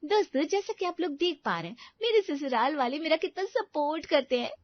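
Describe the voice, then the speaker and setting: A woman's voice: high-pitched, very animated, fairly quick, pitched above the performer's own voice. A woman voicing a chatty, ambitious young bride who vlogs to camera in a cartoon story. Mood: bubbly. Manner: bubbly, talking to camera. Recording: dull dry recording.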